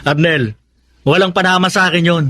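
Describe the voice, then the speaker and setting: Cocky, high-pitched young ladies' man. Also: a little slow, sharp tone. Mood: cocky, scoffing. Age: young adult. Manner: boastful, breezy, laughs off criticism. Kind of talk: Tagalog radio drama acting.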